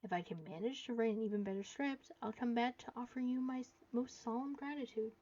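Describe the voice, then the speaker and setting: A woman's voice: on the high side, a little fast, mellow, lo-fi sound. A woman live streaming a game, reading dialogue aloud and reacting, sometimes stumbling over the words.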